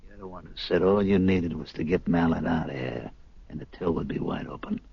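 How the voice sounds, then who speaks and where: Very deep gravelly racketeer. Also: male voice, fairly quick, dry recording, heavily acted. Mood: pressing, tough. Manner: blunt, needling, streetwise. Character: tough male racketeer demanding his payoff. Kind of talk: 1970s radio drama acting. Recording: dull lo-fi old radio recording.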